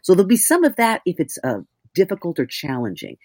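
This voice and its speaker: A woman with a deep voice, an audiobook narrator talking candidly in an interview, thoughtful and self-mocking. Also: huge pitch swings, quick pace, darkish tone, no room sound, studio quality.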